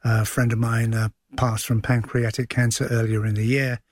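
A man's voice: mid-range voice, little pitch movement, normal speed, no room sound. Male theatre director explaining, dry sound. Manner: explanatory, storytelling. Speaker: theatre director, studio guest. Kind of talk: conversational interview.

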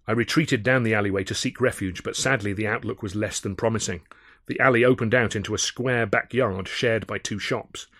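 A man reading a scripted first-person comic adventure, wry and expressive as a storyteller. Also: on the low side, fairly quick, dry recording, clean studio sound. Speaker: author narrating own audiobook.

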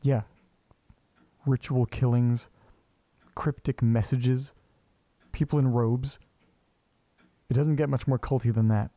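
A man's voice: mid-range voice, fairly even intonation, slow pace, long pauses, warm tone, dry recording, lightly acted. A man playing an awkward, insistent amateur investigator in an audio drama, speaking in a hesitant, casual way. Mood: intent, uneasy. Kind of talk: naturalistic audio drama acting.

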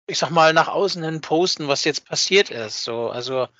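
A man's voice: on the high side, normal speed, sharp tone, no room sound, highs cut off. A man co-hosting a podcast in casual chat, speaking informally and colloquially and often repeating himself. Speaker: podcast co-host. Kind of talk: casual podcast chat about a hobby project.

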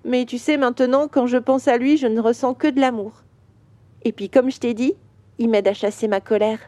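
A woman voicing a caring little girl in a children's audio story, talking softly and patiently. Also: a little high, steady tone, normal speed, no echo, lightly acted. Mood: gentle. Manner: soft, patient, guiding.

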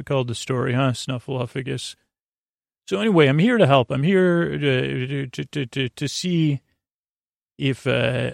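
A man hosts a bedtime podcast in a creaky, gravelly voice at an ordinary male pitch, recorded very dry and close. He meanders whimsically, stumbling over words and doubling back to correct himself as he rambles to help listeners sleep. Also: no room sound. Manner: halting, meandering, with stammers and self-corrections; goofy, whimsical. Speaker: podcast host.

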